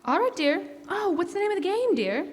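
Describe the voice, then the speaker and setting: Woman acting a patient housewife mom, high lively intonation, motherly, gently admonishing, radio drama, echoey hall. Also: a little fast. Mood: amused. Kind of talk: 1940s-style radio drama acting on stage. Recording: echoey hall, live stage.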